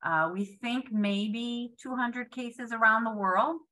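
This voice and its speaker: A woman in her fifties speaks on a video call from a room at home, heard through a webcam mic. Her voice is bright and forward, and she talks earnestly. She pauses often with fillers and restarts her sentences. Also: on the high side. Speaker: patient foundation president.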